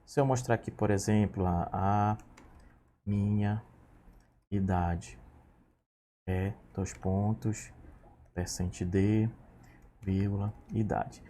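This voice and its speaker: A man's voice: on the low side, fairly even intonation, slow pace, pauses often, warm tone, a little hiss or hum. A man in his thirties teaching a programming lesson, patiently walking through each step and checking in with his students. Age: 30s. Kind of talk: screen-recorded coding lesson.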